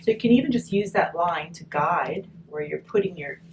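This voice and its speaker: A woman's voice: lowish voice, expressive, moderate pace. A woman giving a casual step-by-step craft demonstration, chatty and encouraging, thinking aloud as she works, recorded on a distant mic. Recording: distant mic, noisy room ambience.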